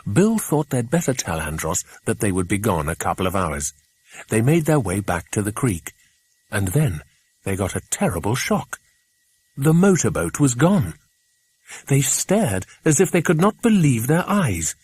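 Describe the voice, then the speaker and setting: A man reading a children's adventure audiobook, an expressive scripted narration. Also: medium pitch, unhurried, breathy edge, no room sound.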